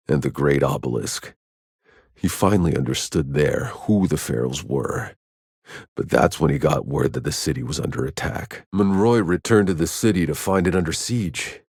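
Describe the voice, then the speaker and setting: A man's voice: low-pitched, no echo, studio quality. A man playing a sardonic, battle-weary sci-fi soldier hero in a video game, with terse delivery. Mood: grim, reflective. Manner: sardonic, terse, swears freely. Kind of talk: video game character voice lines.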